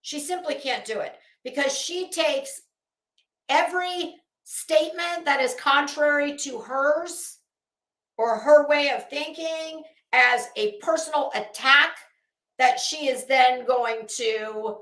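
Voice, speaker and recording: Female livestream host, high register, blunt and sweary, halting emphasis, recorded in a roomy, echoey space. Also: fairly bright tone. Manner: blunt, profane, opinionated. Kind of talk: casual livestream commentary.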